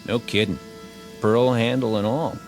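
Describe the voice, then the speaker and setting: An American actor playing a sardonic, war-weary infantry soldier who wisecracks in a radio drama. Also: male voice, mid-range voice, fairly even intonation, measured pace, vocal fry, soft background music. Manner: drawling, sardonic.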